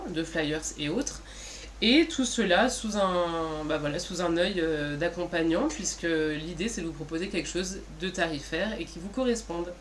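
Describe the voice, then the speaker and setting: A woman's voice: lowish voice, slight background noise. A woman co-presenting in an informal, didactic way, posing questions and then answering them. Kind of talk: informal explanatory business presentation.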